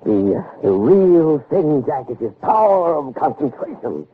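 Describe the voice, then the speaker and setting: An actor playing an intense, obsessive scientist with theatrical, brooding delivery in an early 1960s radio drama. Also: male voice, a little high, sing-song, a little slow, narrow, tinny audio. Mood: exultant. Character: intense, obsessive male scientist impatient with human weakness. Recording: thin, noisy old radio recording.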